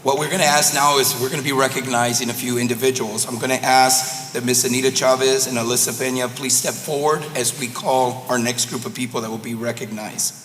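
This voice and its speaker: A man chairing a school board meeting in a formal, courteous way, his voice slightly hoarse. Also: medium pitch, fairly even intonation, a little fast, hardly any pauses, fairly bright tone. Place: large echoey boardroom. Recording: echoey hall, faint room noise.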